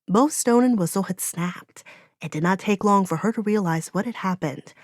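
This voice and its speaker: A woman's voice: mid-range voice, moderate pace, no echo, clean studio sound. A woman reading a fantasy audiobook as narrator, in dramatic, solemn storytelling.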